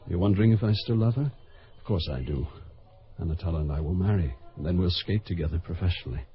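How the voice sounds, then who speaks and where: Male actor plays a protective doctor-lover; very low, measured, old radio tone. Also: faint music behind, close to an everyday voice. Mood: calm. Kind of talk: old-time radio drama. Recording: dull old radio recording.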